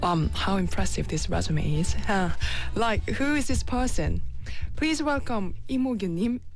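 A woman hosting an interview show, reacting in a lively, playful way. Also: mid-range voice, unhurried. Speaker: podcast host. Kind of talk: casual interview chat. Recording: faint background music.

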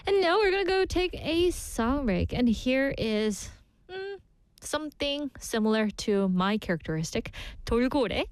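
A woman's voice: high voice, very animated, moderate pace, clean tone, no echo. A woman hosting a radio show, chatting playfully with listeners, laughing often and asking them questions. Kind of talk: casual bilingual radio chat reading listener messages. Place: radio studio.

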